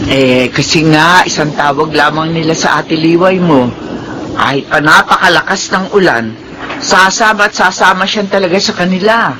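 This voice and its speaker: A man's voice: on the high side, normal speed, close to an everyday voice. A man playing a patient, protective village parent in a Filipino radio drama, explaining and defending. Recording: noisy radio drama recording.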